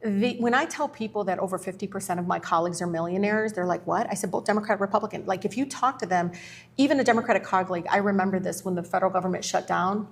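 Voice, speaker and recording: A woman's voice: mid-range voice, fast, breathy edge. A woman politician answering interview questions, earnest and plain-spoken, telling anecdotes. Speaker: politician guest.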